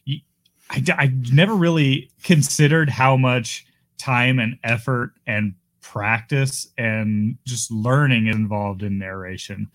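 A man's voice: mid-range voice, a bit bright, no room sound. A man co-hosting a casual podcast, wry and self-deprecating. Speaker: podcast co-host.

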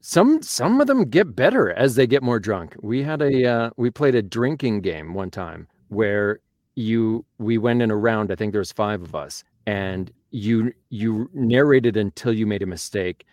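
A man with a fairly low voice hosts a relaxed podcast conversation. He asks curious follow-up questions and laughs easily. The sound is dry and close. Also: a little slow, dry recording. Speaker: podcast host. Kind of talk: casual podcast chat.

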